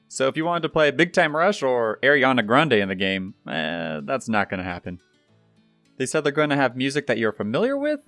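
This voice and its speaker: A man's voice: medium pitch, a bit bright, no room sound. A young adult man narrating gaming news in a scripted, upbeat presenter style, addressing viewers directly. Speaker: YouTube gaming news host. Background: background music.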